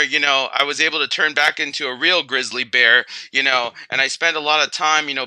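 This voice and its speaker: A chatty teddy bear acted out by a man tells casual stories in a very bright, forceful voice. The delivery is clear and smooth, wandering and hesitant, full of filler words, in a close, dry recording. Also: a little high, no echo, rough recording. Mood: chatty, upbeat. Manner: rambling, hesitant, filler-heavy storytelling. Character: chatty male teddy bear who was once a grizzly. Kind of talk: casual first-person storytelling.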